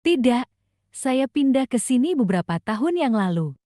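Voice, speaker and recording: A woman's voice: high-pitched, sing-song, brisk, mellow, no room sound, studio quality. A woman reading short translated phrases in a language lesson. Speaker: translation narrator.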